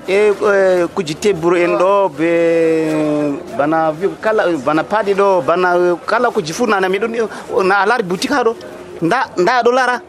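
A man who trades at an outdoor market, interviewed in the field and speaking with hesitant pauses. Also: high voice. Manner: hesitant, halting talk.

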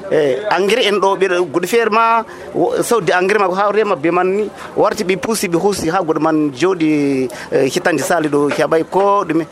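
High-voiced man, interviewed at a market. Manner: hesitant, halting talk. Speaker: market trader. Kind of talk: field interview. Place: outdoor market.

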